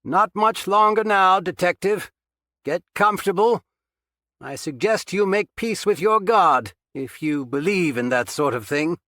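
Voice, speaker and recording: A man's voice: sits high, expressive, measured pace, fairly bright tone, no echo, clean studio sound, voice pushed up. A man voicing a gloating, grandiose villain. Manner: raised, grandiose, taunting delivery. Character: menacing, pompous male villain, gloating and cold.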